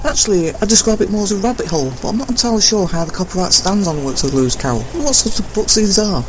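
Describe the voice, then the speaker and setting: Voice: medium pitch, lively intonation, fast, over music, close to an everyday voice. A performer playing a chatty, wry, bewildered sidekick who rambles through a comic audio drama. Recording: noisy background ambience.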